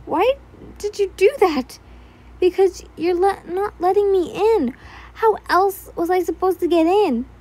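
A woman voicing a scared, hurt young person who shouts and sobs at a friend. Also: very high voice, a little slow, pitched above the performer's own voice. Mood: defensive shouting. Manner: sobbing, defensive, shouting.

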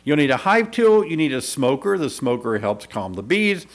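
Lively, upbeat male beekeeping teacher. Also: fairly high pitch, moderate pace. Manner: upbeat, persuasive, clear teaching delivery. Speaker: beekeeping instructor. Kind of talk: scripted video lesson to camera. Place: small indoor studio. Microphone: desk microphone in front.

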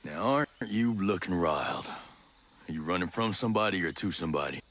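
An actor playing a stoic, wary veteran soldier in a video game, with a terse, dry delivery. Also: male voice, low voice, animated, a little fast, a bit bright. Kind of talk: cinematic video game acting.

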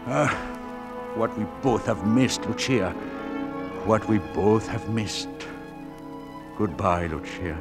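A gruff old-time radio ghost of a sea captain, played by a man, speaking slowly and with sweeping intonation over prominent music. He is theatrical, wistful and in love. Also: fairly low pitch, animated. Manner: theatrical, tender, wistful delivery. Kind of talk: 1940s radio play acting.

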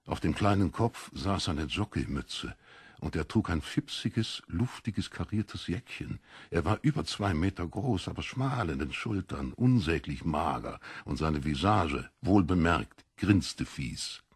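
A man reads literary fiction as a dramatic narrator. His voice is low and husky, with deliberate, expressive phrasing, and the sound is intimate and dry. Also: no room sound.

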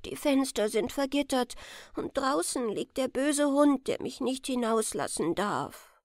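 A woman's voice: high-pitched, lively intonation, a little slow, no room sound, clean studio sound, pitched above the performer's own voice. A woman voicing a small, timid orphan girl in a fairy tale, innocent and plaintive in her questions. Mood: worried.

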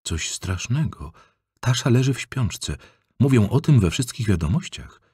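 A man voicing a worried mother who gently consoles her teenage daughter in an audiobook. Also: mid-range voice, big pitch swings, a little slow, slightly rough, dry recording, clean studio sound, pitched above the performer's own voice, heavily acted. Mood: consoling.

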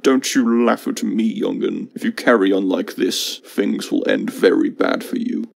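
Male actor: fed-up veteran engine, game villain-scolder; creaky, low-leaning, threatening. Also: a little slow. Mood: stern, scolding. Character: stern, fed-up old-guard steam engine scolding youngsters.

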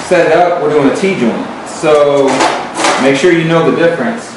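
A man's voice: on the high side, moderate pace, very noisy. Man talking shop in an echoey workshop. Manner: plain, repeats words, many fillers. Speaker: welding instructor. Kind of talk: hands-on tutorial. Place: metal workshop. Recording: very echoey workshop, distant mic.

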